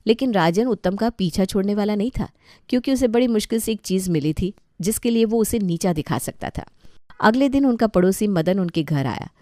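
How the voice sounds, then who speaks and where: A woman's voice: on the low side, mellow, no room sound, clean studio sound. A woman narrating a scripted family comedy story as a storyteller.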